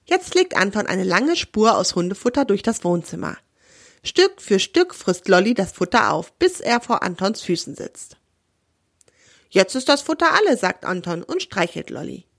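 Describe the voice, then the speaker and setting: Hoarse woman reading a kids' story. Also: medium pitch, moderate pace, no echo, studio quality. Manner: friendly, expressive storytelling. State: hoarse from a cold. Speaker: children's podcast host and storyteller. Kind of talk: reading a children's story aloud.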